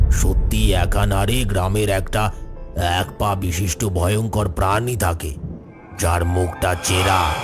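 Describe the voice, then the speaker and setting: A man playing a grave, kindly elderly village householder in an animated horror story, speaking slowly and solemnly. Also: low voice. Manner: slow, solemn, drawn-out delivery.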